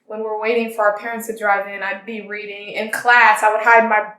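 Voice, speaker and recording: A woman's voice: medium pitch, little pitch movement, a little fast, bright, forceful tone. A young woman telling personal stories casually and conversationally, as an interviewee. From born in Tanzania, grew up in the United States. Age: young adult. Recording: roomy, echoing interview recording.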